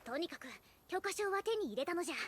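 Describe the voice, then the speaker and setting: High, animated, bossy anime girl. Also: fast, a little hiss or hum. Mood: eager, determined. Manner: archaic elderly-style speech, bossy and expressive. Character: spirited girl companion who talks like an old sage. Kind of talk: anime game voice acting.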